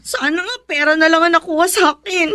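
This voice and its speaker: A woman's voice: very high voice, fast talker, pushed and bright. A woman playing a young, practical but plaintive commuter in a Filipino radio drama. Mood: rueful, annoyed.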